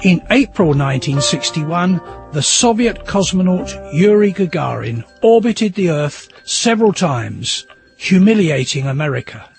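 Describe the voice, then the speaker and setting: A man's voice: a little high, expressive, measured pace, creaky. A man reading scripted historical narration as a documentary narrator. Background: music.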